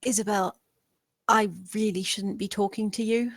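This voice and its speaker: A woman playing a timid, obedient young housemaid in a dramatic script read, soft-spoken, hesitant and deferential. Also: medium pitch, fairly even intonation, normal speed, no echo, studio quality, natural voice. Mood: guarded, apologetic.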